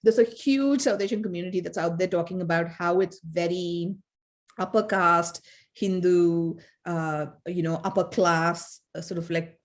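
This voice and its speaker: A woman's voice: lowish voice, steady tone, measured pace. A woman from India, co-hosting a casual academic discussion, thoughtful and hesitant with many fillers. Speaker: podcast co-host, fan scholar.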